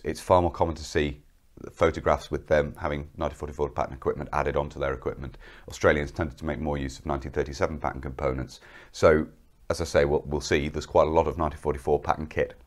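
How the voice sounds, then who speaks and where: A young man with a cold presenting military kit to camera in a studio, explaining each piece in a methodical, detailed way. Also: a bass voice. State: has a cold.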